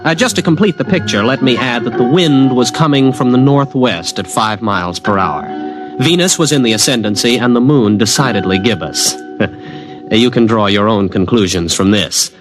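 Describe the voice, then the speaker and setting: A man's voice: mid-range voice, animated, normal speed, low-quality recording. Wry young man narrating over music. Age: young adult. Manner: wry, sardonic first-person narration. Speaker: narrator and lead character, a student. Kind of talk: 1950s radio drama acting. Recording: old radio broadcast with music under.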